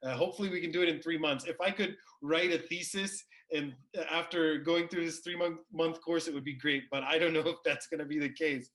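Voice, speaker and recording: A man with a slightly high voice talks casually as a regular on a language show. He is a native English speaker, and his phrasing is loose and hesitant. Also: steady tone, fairly quick, few pauses, a bit bright. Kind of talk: casual unscripted introduction to viewers.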